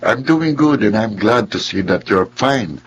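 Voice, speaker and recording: Low-voiced charming male friend. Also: lively intonation, a little slow, muffled recording, a little hiss or hum. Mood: apologetic, charming. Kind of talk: Filipino radio drama acting.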